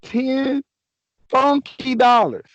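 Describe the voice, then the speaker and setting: Guy w a sore throat hosting a chill sports chat, kinda raspy, slightly dull dry sound. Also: extremely high pitch, very slow, fairly bright tone, no room sound. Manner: laid-back, joking, rambling with many restarts. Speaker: podcast host. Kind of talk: casual fantasy sports chat.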